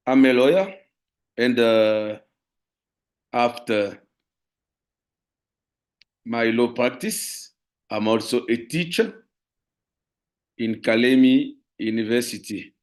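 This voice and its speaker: A man with a Congolese French accent delivers a formal talk. His pace is very slow and deliberate, at a middling pitch. His English is careful and halting, that of a French speaker presenting in a second language. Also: lively intonation, a little mellow. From Democratic Republic of the Congo. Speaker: lawyer and university teacher, guest speaker.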